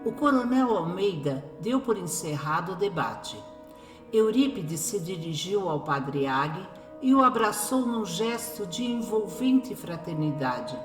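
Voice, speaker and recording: A woman's voice: fairly low pitch, very animated, unhurried. A woman narrating a radio drama from a script. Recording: echoey, music behind. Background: music.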